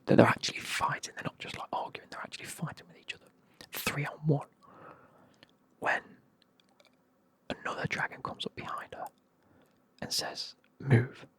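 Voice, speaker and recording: A person with a British accent whispering a casual, chatty, sweary retelling of a book in a breathy ASMR style. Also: mid-range voice, a bit bright.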